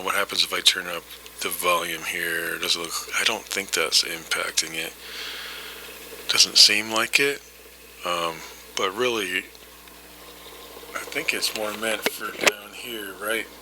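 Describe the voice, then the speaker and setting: Deep, sharp-voiced man rambling over noisy audio. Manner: rambling, tentative, self-deprecating. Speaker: hobbyist vlogger demonstrating vintage audio gear. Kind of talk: casual unscripted demonstration, thinking aloud. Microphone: old reel-to-reel recorder microphone. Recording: noisy vintage tape-recorder microphone, hiss.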